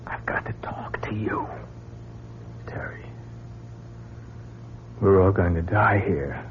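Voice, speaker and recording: An actor playing a bitter, jealous, desperate astronaut with a rough, breathy voice in a radio melodrama. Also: male voice, sits low, slow pace, whispered. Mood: hushed urgency. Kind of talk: melodramatic radio drama acting. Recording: muffled lo-fi old radio recording.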